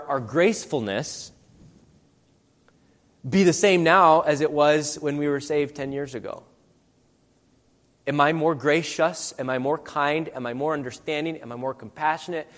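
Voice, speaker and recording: A man giving a conversational sermon. He asks his listeners many rhetorical questions. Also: a little high. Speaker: preacher. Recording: long hall reverb.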